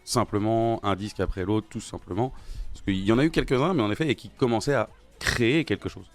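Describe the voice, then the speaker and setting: A man's voice: fairly low pitch, fast, music in the background. A man with a French accent, co-hosting a podcast in a joking, laid-back way. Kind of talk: casual joking chat.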